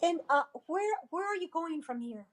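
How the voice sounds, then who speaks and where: A woman's voice: extremely high pitch, lively intonation, moderate pace. A woman hosting an interview, asking friendly questions and giving brief affirmations. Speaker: interviewer host. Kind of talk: conversational interview.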